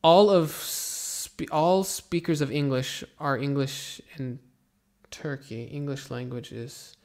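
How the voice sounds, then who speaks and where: Young American teacher from Florida, laid-back Q&A delivery, a little fry in the voice. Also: male voice, a little high, takes it slowly. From Florida, USA. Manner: relaxed, rambling, many ums and restarts. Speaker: English teacher answering viewer questions. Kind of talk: casual Q&A, reading comments aloud.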